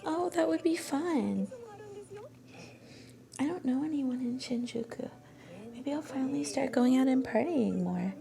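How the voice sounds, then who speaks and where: A woman playing an affectionate, shy young student girlfriend in an intimate ASMR roleplay, soft-spoken and breathy, often slipping toward a whisper. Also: high voice. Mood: pleasantly surprised. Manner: tender, coaxing, bashfully hesitant.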